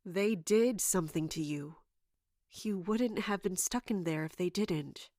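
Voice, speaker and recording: Audio drama: actress for wounded, caring royal guard captain, female. Lower register, halting and hushed delivery, dry recording. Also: no echo, lightly acted. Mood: gentle concern. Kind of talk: dramatic audio fiction acting.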